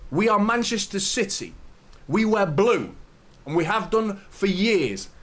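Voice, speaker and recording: Slightly hoarse man ranting. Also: sits high, sing-song, unhurried, fairly bright tone. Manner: opinionated, ranting, greets viewers by name. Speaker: host of a football fan watchalong. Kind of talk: live stream chat and commentary.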